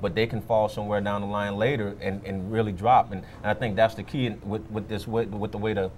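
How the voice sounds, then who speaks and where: Fast-talking, lowish-voiced male sports analyst. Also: flat delivery, darkish tone, a little hiss or hum. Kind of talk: informal conversational sports analysis. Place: on location at a restaurant.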